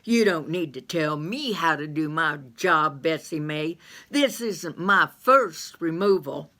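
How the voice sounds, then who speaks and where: A woman's voice: low-pitched, expressive, takes it slowly, bright, forceful tone, full character voice. A woman voicing a blunt, defensive grown man who answers curtly.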